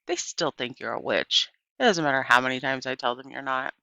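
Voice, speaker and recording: A woman's voice: rumbling low, fairly quick, pushed and bright, dry recording, dull audio, pitched below the performer's own voice, heavily acted. A woman reading the part of a stubborn, indignant young girl, a preacher's daughter in a storybook. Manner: indignant, defiant.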